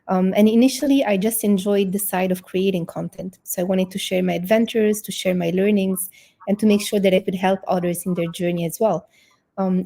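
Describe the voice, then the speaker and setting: Woman in her twenties raised in Italy, relaxed warm voice, upbeat interview guest. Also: medium pitch. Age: 20s. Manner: fluent, upbeat, laughs between thoughts. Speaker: guest, sales manager and personal branding founder. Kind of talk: casual online interview.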